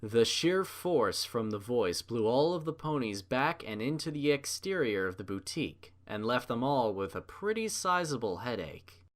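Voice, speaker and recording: Guy reading a fanfic story out loud, pitch moves around a lot, animated narrator. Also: moderate pace, few pauses.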